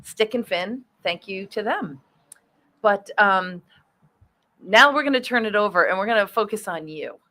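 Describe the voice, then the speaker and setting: A woman's voice: medium pitch, expressive, moderate pace, bright, forceful tone. A woman hosting a casual interview show, talking in a chatty, enthusiastic way. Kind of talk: casual chat.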